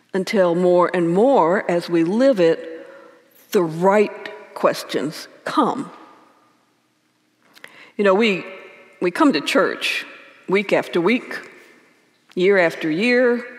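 A woman in her sixties, a priest giving a calm, measured sermon at a church pulpit microphone, in a lightly voice. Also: lowish voice. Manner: calm, measured, gentle repetition. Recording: long church reverb.

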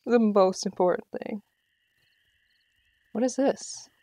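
A woman's voice: mid-range voice, slow pace, dark tone, no echo. A woman giving casual live commentary as she streams a game, playfully talking to herself and her viewers.

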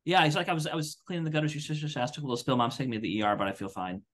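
A man's voice: a little high, expressive, very fast. A man telling casual anecdotes as a podcast co-host, acting out the quoted dialogue. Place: indoor room on a video call.

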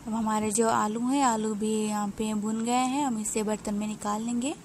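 A woman narrating a home cooking recipe step by step in an unhurried, explanatory way, pausing with small hesitations. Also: a little high, level tone, a little mellow, slight background noise. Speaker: home cook.

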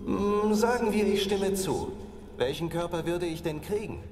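A man's voice: high voice, a little slow, a little mellow. An actor playing a boisterous, crude male ghost who mocks and demands to be entertained, in a video game dub. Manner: exuberant, crude, mocking. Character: boisterous male ghost, lewd pleasure-seeking nobleman. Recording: reverberant with music underneath.

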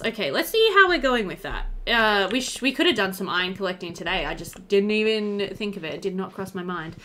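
A woman in her thirties giving casual, chatty let's-play commentary, animated and thinking aloud. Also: medium pitch. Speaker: gaming video host. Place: indoor room.

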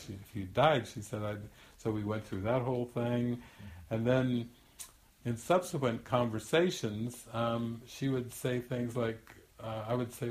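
An older man, a spiritual teacher, telling a long anecdote in a rambling, chatty way with frequent restarts and laughter. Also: fairly low pitch. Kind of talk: storytelling teaching talk. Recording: echoey room.

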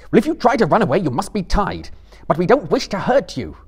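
A man playing a stern, fair-minded hobbit leader who speaks with deliberate command in a dramatic fantasy reading. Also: mid-range voice, big pitch swings, darkish tone, pitched above the performer's own voice. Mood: firm.

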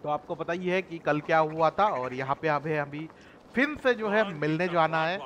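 Fast, high-pitched male game streamer. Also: fairly bright tone, a little hiss or hum. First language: Hindi. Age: young adult. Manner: chatty, informal. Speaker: gaming streamer. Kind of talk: casual livestream gameplay commentary.

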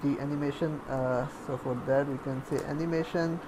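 A man giving a step-by-step screencast programming lesson in a halting way, as if thinking aloud while typing. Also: a little high, fairly even intonation, noisy background. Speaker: programming tutorial instructor. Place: voice-over recorded over screen capture.

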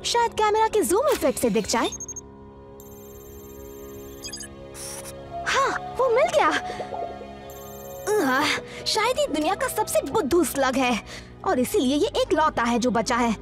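A woman's voice: sits high, sing-song, moderate pace, music in the background. A woman playing a determined young heroine in a cartoon dub, urgent and decisive. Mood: excited. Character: determined young heroine, plucky team member. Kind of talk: animated cartoon dub acting.

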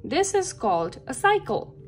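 A woman reading a nonfiction picture book aloud for children, with careful, deliberate and expressive phrasing. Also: high-pitched. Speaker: read-aloud narrator for children. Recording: background music under the voice. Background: music.

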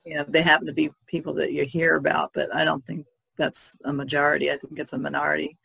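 A woman's voice: low voice, level tone, quick pace, creaky, fairly bright tone, breathy edge, no room sound, low-quality recording. A woman podcast panelist chatting casually in a conversation. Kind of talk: casual chat.

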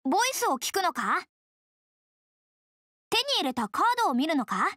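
A woman voicing a brash, tomboyish young game character, hearty but easily flustered, who talks in rough, blunt slang. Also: sits high, big pitch swings, moderate pace, pushed and bright, dry recording, studio quality, heavily acted. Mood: casual. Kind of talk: video game character voice lines.

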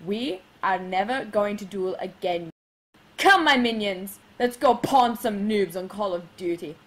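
A woman's voice: mid-range voice, animated, unhurried, natural voice. A woman voicing a bossy, exasperated young female in a cartoon parody dub, haughty and scolding. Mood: scornful.

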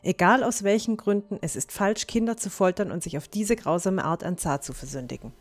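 A woman's voice: mid-range voice, fairly quick, soft background music, close to an everyday voice. A woman playing a resolute, stern young heroine with firm morals in a fantasy audio drama, speaking decisively. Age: young adult. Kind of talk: fan-made fantasy audio drama acting.

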